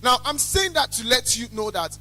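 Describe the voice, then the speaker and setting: A young man with a Nigerian accent and a loud, carrying voice, giving an emphatic, blunt motivational talk as a business owner. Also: very high-pitched, big pitch swings, normal speed, soft background music. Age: young adult. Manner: emphatic, blunt, repeats phrases for effect. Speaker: small chops business owner, guest speaker. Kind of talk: motivational talk to a student audience.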